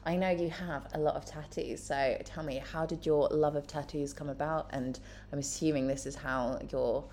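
A woman's voice: deep voice, fairly even intonation, normal speed, darkish tone, a little hiss or hum. A woman interviewing a guest in person, upbeat and encouraging with her questions. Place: indoor room, in person.

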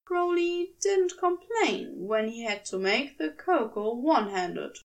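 A woman reading scripted fiction aloud as an expressive audiobook narrator. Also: fairly high pitch, far from the mic, rough recording. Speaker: podfic narrator.

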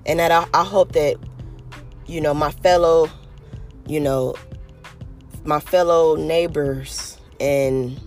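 Podcast host, from California, hoarse and raspy, earnest, rambling, faint music behind. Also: medium pitch, fairly even intonation, takes it slowly, long pauses. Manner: earnest, rambling, many "you know" fillers. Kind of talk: motivational podcast monologue.

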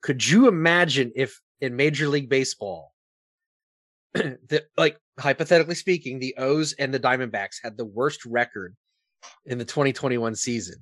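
A man's voice: mid-range voice, moderate pace, a bit bright, dry recording. An American man co-hosting a casual sports podcast, opinionated and fond of putting rhetorical questions.

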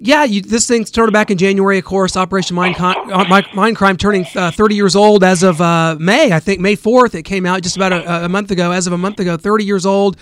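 A man's voice: high voice, fairly even intonation, a little fast, hardly any pauses, a bit bright, no room sound. A man hosting a radio interview, asking eager, rambling questions with frequent restarts. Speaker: radio show host.

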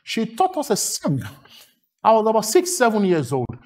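A man's voice: sits high, wildly swooping pitch, moderate pace, darkish tone. A man from Lagos with a Nigerian accent, preaching a sermon with emphatic, rhythmic repetition and long lists.

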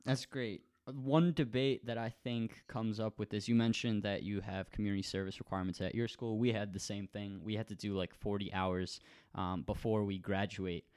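Smooth, lowish-voiced young man hosting. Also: fairly even intonation, normal speed, darkish tone. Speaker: podcast host. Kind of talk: conversational interviewing.

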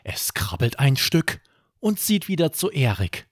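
A man narrating a scripted children's bedtime story as podcast host, with expressive, dramatic delivery. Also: on the high side, a little slow, no room sound, clean studio sound.

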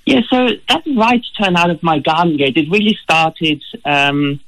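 A man's voice: fairly high pitch, lively intonation, moderate pace, a bit bright, poor audio. A man from Germany with a German accent, a guest in an interview, answering earnestly and often repeating words as he thinks. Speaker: guest, ultra runner.

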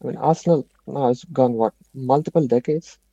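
Dark-voiced man, dull dry recording. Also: medium pitch, a little fast, gravelly, dry recording. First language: Marathi. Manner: insistent, dry humor. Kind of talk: casual football chat.

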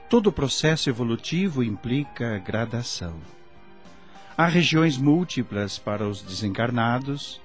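Man acting slow, expressive spirit mentor. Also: mid-range voice, lightly acted. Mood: solemn, instructive. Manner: didactic, formal, measured diction. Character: patient, kindly male spirit guide and mentor. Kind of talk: radio drama acting.

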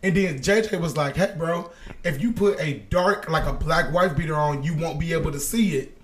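A hoarse young man hosting a casual podcast chat, telling stories with lots of laughter. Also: fairly high pitch, moderate pace. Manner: animated storytelling, laughing often. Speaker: podcast host.

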